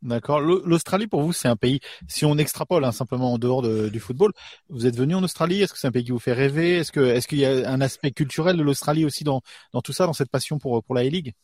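A man's voice: medium pitch, quick pace, darkish tone, no echo, studio quality. A male radio host asking interview questions, often searching for words and repeating himself.

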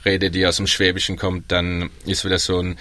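A man's voice: low voice, moderate pace, dry recording. A young man with a Baden German accent, chatting casually on a panel with frequent ums. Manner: relaxed, chatty, many ums. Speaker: guest, pub worker in Melbourne.

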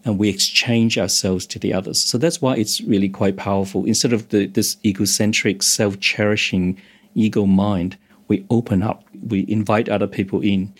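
Low-voiced meditation teacher from Hong Kong. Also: male voice, fairly even intonation, normal speed, husky. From Hong Kong, moved to Australia as a teenager. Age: 50s or older. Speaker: meditation instructor, interview guest. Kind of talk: explanatory interview talk.

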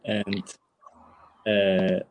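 A young man with an Albanian accent answering interview questions, halting often with fillers and restarts. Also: sits low, completely flat delivery. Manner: hesitant, many fillers and restarts. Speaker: footballer guest.

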